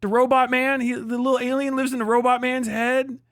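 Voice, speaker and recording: A man's voice: very high-pitched, level tone, brisk, a bit bright, a little hiss or hum. A man livestreaming mocking, profanity-heavy reaction commentary as host, in a crude, exclamatory riffing manner. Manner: often breaks into laughter at own riffs.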